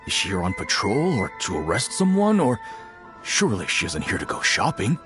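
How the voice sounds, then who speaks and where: A man's voice: on the low side, huge pitch swings, normal speed, fairly bright tone, faint music behind. A man playing a wary, puzzled onlooker in a video game. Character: puzzled, wary male onlooker, speculating nervously. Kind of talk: video game character acting.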